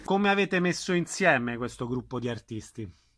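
A man's voice: mid-range voice, expressive, normal speed, a bit bright. A man hosting a radio interview, asking questions in a conversational style.

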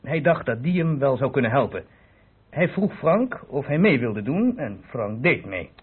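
Husky, animated police inspector on tinny radio. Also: male voice, mid-range voice, normal speed. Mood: genial, explanatory. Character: brisk, self-assured male police inspector explaining a solved case. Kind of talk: radio play acting. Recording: tinny, narrow-band old radio recording.

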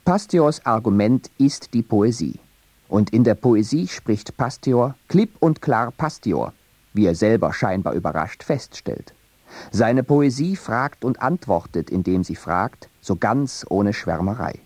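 A man reading a scripted radio essay on poetry in a measured, deliberate way. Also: fairly low pitch, lively intonation, a little mellow, no echo. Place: radio studio.

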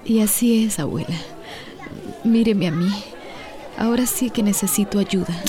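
A woman's voice: mid-range voice, moderate pace, a crowd in the background, natural voice. A woman playing an exhausted, worried young wife in a radio drama, subdued and searching. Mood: weary, worried.

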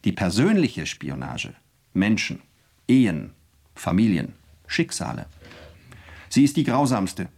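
Moralizing official, earnest, oratorical, low voice, wide swings, radio play. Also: male voice, huge pitch swings, slow pace, pauses often, a little mellow, breathy edge, exaggerated voice. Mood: grave. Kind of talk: radio drama acting.

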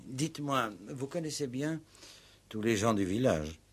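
Courteous, husky police commissioner. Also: male voice, medium pitch, expressive. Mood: probing. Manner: polite, measured, questioning. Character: patient, courteous, probing male police commissioner. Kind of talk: 1960s French radio play acting.

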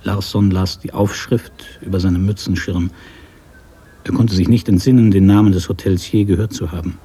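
A man narrating a literary radio drama from a script in a measured, sober manner. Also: sits low, steady tone, mellow, breathy edge.

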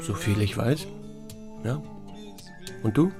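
A man's voice: lowish voice, sing-song, slow, dark tone, soft background music, heavily acted. A man playing a reserved small-town sheriff in a German radio drama, narrating and speaking in terse, understated lines. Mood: guarded, uncertain.

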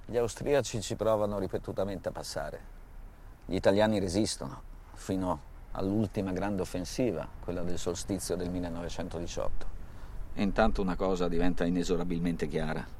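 A man giving scripted historical documentary narration in a grave, measured manner. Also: low-pitched, steady tone, darkish tone, a little hiss or hum.

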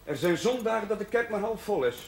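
An earnest, teasing young priest. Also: male voice, high voice, expressive, fast talker, creaky, a little hiss or hum. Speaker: chaplain. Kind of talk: 1970s television drama acting.

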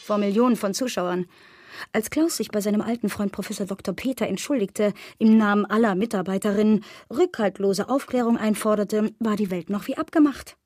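A woman acting in a radio drama monologue as a bitter, betrayed agency employee, narrating her thoughts with measured irony. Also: mid-range voice, darkish tone, no room sound, studio quality, faint music behind, lightly acted. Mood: bitter, stunned. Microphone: close, dry studio microphone.

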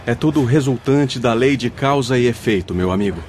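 A male actor plays a comforting, wise spirit guide in a radio play. He has a medium-pitched voice and instructs in formal, measured, patient phrases. Music plays behind him. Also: darkish tone, natural voice. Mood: explaining gravely. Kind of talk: radio drama acting. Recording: music under the voice. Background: background music.

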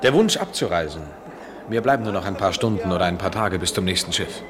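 An actor playing a restless, wry man travelling abroad, measured and poetic, in a noisy 1970s radio play recording. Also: deep voice, animated, crowd noise. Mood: aloof. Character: restless, wry European traveller, aloof yet attracted man.

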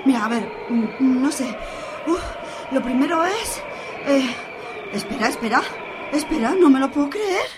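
A woman's voice: high voice, lively intonation, measured pace, noisy background. An actress in a radio serial playing a sensible but flustered young woman with a Spanish accent, exclaiming and stammering in exasperation. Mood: startled, flustered. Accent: Spain. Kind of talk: audio drama acting.

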